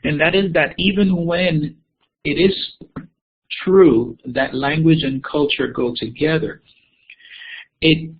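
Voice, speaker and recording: Man from Costa Rica, online talk, frequent ums, husky, tinny sound. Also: on the high side, fairly even intonation, slow, long pauses. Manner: hesitant, many ums and repeated words. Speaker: keynote speaker. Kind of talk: webinar presentation. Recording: tinny phone-like audio.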